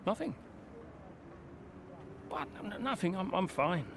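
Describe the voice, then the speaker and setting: A weary, blunt veteran racer, noisy background. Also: male voice, high-pitched, sing-song, a little slow, full character voice. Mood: defensive, then concerned. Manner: blunt, curt, grudgingly caring. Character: weary, prickly veteran male racing driver, nearing retirement. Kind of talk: naturalistic video game cutscene acting.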